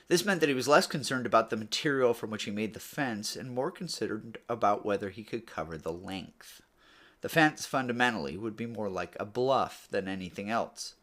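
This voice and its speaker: Male audiobook-style narrator, fairly low pitch, measured storytelling delivery with falling phrase endings. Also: fairly bright tone. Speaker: author or reader narrating a book read-aloud.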